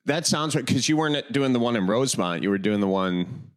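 A man in his late thirties with a Wisconsin accent, hosting a casual chat in an easygoing, halting way with many fillers. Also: medium pitch, expressive. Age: 30s. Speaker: podcast host.

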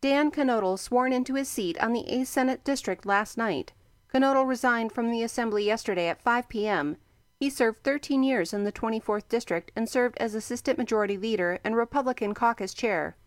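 A woman reading a scripted radio news bulletin from the news desk, in a brisk, even and formal delivery. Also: a little high, dry recording. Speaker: newsreader.

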